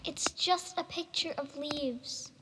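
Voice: sits high, slow pace, bright, forceful tone, exaggerated voice. A performer playing a stern, practical mother in an amateur radio drama. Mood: skeptical. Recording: noisy, long reverberant hall sound.

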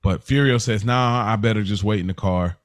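A man's voice: on the low side, fairly quick. An American man hosting a podcast, talking informally through a TV recap and putting questions to his co-hosts. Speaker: podcast host. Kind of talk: casual TV recap discussion.

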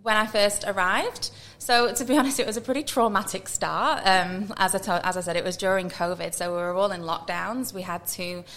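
Bubbly Manchester woman with a gravelly edge. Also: medium pitch, fairly even intonation, fairly bright tone. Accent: Northern English (Manchester). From Manchester, England. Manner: chatty, bubbly, quick asides. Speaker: podcast guest, recruiter. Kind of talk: casual interview chat.

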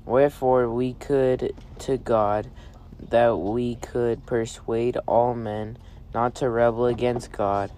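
Guy reading scripture out loud, slow and a bit halting, car noise behind him. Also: medium pitch, steady tone. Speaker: family scripture reader. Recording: loud vehicle and road noise. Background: car and vehicle noise.

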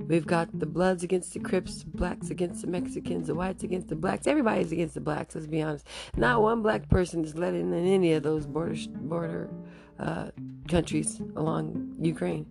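A woman hosting her own podcast, speaking in a rambling, casual monologue with a raspy voice she is losing, and breaking into nervous laughs. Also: lowish voice, fairly even intonation, fairly quick, music in the background. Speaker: podcast host.

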